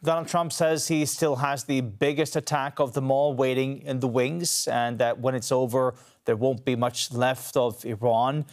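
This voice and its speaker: A man's voice: medium pitch, fairly even intonation, normal speed, few pauses. A man anchoring a news broadcast, asking formal, composed questions and reading links. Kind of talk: news interview and presenting.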